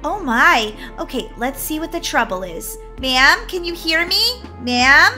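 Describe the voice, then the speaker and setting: Woman acting brisk female paramedic, high animated voice, soothing, bossy, kindly, children's skit. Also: faint music behind, heavily acted. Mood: take-charge. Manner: soothing, bossy, calls patients honey. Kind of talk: playful skit role-play for children.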